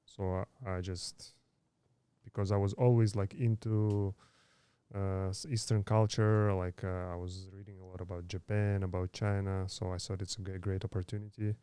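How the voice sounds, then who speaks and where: Low mellow-voiced man in his thirties. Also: little pitch movement, smooth, no echo. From Kazakhstan. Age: 30s. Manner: halting, many fillers and restarts. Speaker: podcast guest. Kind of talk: casual interview storytelling.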